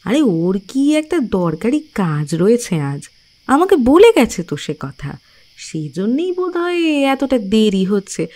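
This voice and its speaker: Casting: devout village wife and mother, expressive, unhurried, higher-pitched, for audio drama. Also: full character voice. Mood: coaxing, gentle. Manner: coaxing, expressive. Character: devout, placating village housewife and protective mother.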